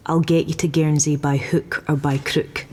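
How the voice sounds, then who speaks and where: An actress playing a practical, calm British resistance boat pilot in a video game cutscene, measured and conciliatory, with a British accent. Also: female voice, low-pitched, slightly rough, long reverb tail. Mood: resolute.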